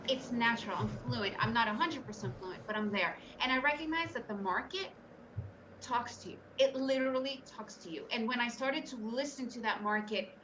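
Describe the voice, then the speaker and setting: A woman's voice: on the high side, far from the mic, background noise. A middle-aged woman, born in Russia and raised in the US, candidly telling her story as a guest on an interview podcast. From Soviet Union, raised in the US. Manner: earnest, candid, emphatic storytelling. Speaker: guest trader.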